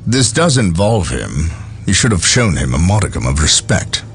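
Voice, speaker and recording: An actor playing a stern, proud space marine captain in a video game, speaking in a formal, commanding way. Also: male voice, sits low, big pitch swings, normal speed, soft background music. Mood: indignant. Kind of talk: scripted game cutscene acting.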